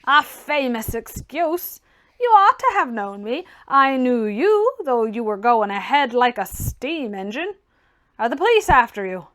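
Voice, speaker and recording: A woman acts out a brash, teasing bachelor gentleman from a classic novel. The delivery is theatrical and mocking, with emphatic, high-pitched swells. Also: very animated, measured pace. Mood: brisk, teasing. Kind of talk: audiobook character dialogue.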